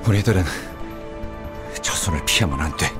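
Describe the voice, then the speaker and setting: A man playing a haunted, weary soldier who speaks in a halting, subdued way. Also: low-pitched, expressive. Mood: resigned. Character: haunted, resigned male soldier, weary of a futile life. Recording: loud music under voice.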